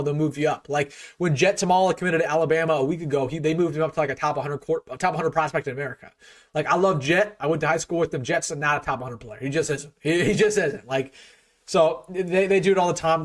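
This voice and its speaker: A young man hosting a casual live sports stream, opinionated, full of restarts and fillers, his voice getting a bit worn. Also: a little high. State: losing his voice a little.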